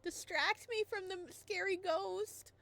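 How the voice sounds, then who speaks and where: A woman voicing a shy, frightened young male ghost who stammers softly in a visual novel. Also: very high-pitched, fairly even intonation, measured pace, creaky, pitched above the performer's own voice, exaggerated voice. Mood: timid. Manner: stammering, hushed.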